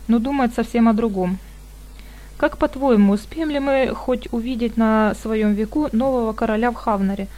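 A woman reading a fantasy novel aloud as an audiobook narrator, in an even, measured way, voicing the dialogue lightly. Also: mid-range voice.

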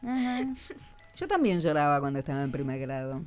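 A woman's voice: sits low, big pitch swings, a little fast, a little mellow, smooth, soft background music. A woman with an Argentine accent interviewing on the radio in an informal, teacherly way, often prompting with questions. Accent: Argentine (Rioplatense). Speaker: radio host interviewing schoolchildren.

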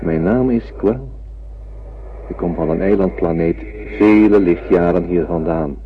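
Ancient gentle alien telepath, deep, measured, solemn, radio drama, thin band-limited recording with faint noise. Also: male voice, muffled recording. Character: huge old telepathic alien, three metres tall, gentle and wise.

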